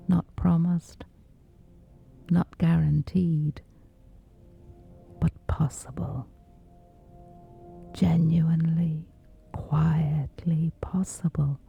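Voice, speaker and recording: A woman gently guiding a scripted sleep meditation in a soothing, breathy whisper, unhurried throughout. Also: deep voice, phone-quality sound. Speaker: meditation guide.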